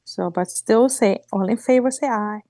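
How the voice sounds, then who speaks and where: Hoarse mayor with a mellow voice. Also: female voice, mid-range voice, dry recording, clean studio sound. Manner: halting, with fillers and restarts. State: sore throat. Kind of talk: chairing a council meeting. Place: home, on a video call. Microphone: video call.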